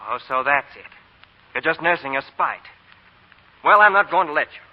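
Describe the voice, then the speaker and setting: An actor playing an earnest, exasperated banker and suitor in a 1940s radio drama, emphatic and theatrical. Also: male voice, fairly high pitch, expressive, normal speed, natural voice. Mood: frustrated, stern. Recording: muffled 1940 radio broadcast, hall reverb.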